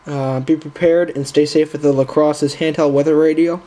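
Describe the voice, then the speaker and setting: A man hosting a casual unboxing vlog in a halting, informal way, recorded indoors on a handheld camera's built-in microphone. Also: a little high, steady tone, warm tone. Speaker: video host.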